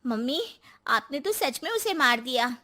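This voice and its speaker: A woman's voice: high-pitched, big pitch swings, unhurried, bright, forceful tone, exaggerated voice. A woman voicing a timid young daughter-in-law in a cartoon, nervous and pleading.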